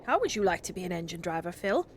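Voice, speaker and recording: A woman voicing a cheerful, brisk, reassuring father in a storybook reading. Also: lowish voice, very animated, a little hiss or hum. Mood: hearty, cheerful. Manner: brisk, jovial, encouraging. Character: cheerful, hearty, reassuring father of an Edwardian family.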